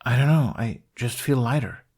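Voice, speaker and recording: A man voicing an excitable, cheeky teenage boy in a storybook reading, eager and a little hesitant. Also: medium pitch, moderate pace, husky, pitched above the performer's own voice, close to an everyday voice. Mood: earnest, excited.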